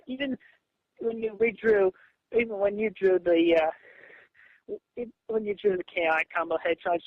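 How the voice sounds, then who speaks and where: Man, high voice, dry muffled phone audio, stammering, repetitive, argumentative conversation. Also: no room sound. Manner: halting, stammering, repeats himself. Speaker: comic creator on a phone call. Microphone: phone call.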